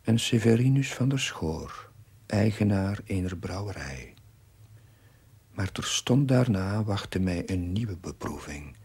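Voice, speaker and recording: Earnest young chaplain reading his diary, really breathy and husky, solemn tv drama voice-over, slow. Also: low voice, animated, a little mellow, full character voice. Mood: solemn, reflective. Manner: measured, solemn, formal literary diction. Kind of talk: scripted dramatic voice-over narration.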